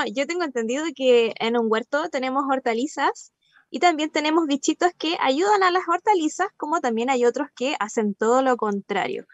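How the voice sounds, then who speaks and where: A young woman talks casually as a workshop participant. Her voice is high and the sound is dry, picked up on a video call. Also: dry recording. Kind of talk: casual spoken contribution. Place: indoor room, on a video call.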